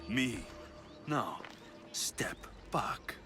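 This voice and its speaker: Terse, stoic mercenary hero. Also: male voice, medium pitch, animated, drawn-out words, music in the background. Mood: quiet warning. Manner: terse, direct. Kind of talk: video game cutscene acting.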